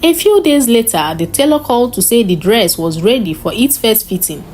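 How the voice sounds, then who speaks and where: A woman narrating a scripted folktale in an expressive, dramatic storytelling style. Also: on the high side, normal speed, slight background noise.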